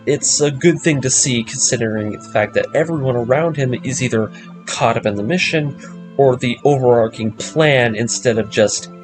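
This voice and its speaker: Man, medium pitch, recap host, scripted narration with casual commentary, music playing behind the voice. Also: moderate pace, poor audio. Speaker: host of an anime story breakdown series.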